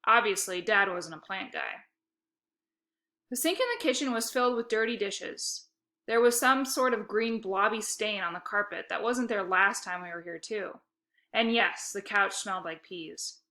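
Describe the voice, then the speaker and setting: A woman reading a children's story aloud in an expressive, emphatic narrating style. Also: medium pitch, normal speed. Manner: animated, emphatic dialogue.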